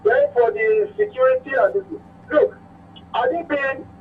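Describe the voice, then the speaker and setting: A man with a northern Nigerian accent calling a radio phone-in to give his opinion, often repeating words haltingly. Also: extremely high pitch, big pitch swings, a little hiss or hum. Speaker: phone-in caller. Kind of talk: impassioned opinion on a radio call-in. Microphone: telephone. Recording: tinny narrow phone line.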